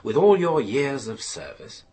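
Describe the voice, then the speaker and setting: Stern official interrogator, sharply varied intonation, formal and pressing, courtroom-style radio drama. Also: male voice, medium pitch, very animated, measured pace, mellow. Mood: stern, accusing. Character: stern, relentless official leading an accident inquiry.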